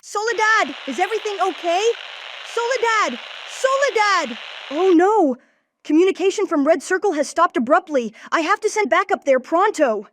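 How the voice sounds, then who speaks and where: A woman's voice: extremely high pitch, animated, moderate pace, fairly bright tone, dry recording. A woman voicing an earnest, bossy young boy who leads a team in a comic dub, urgent and commanding. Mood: alarmed.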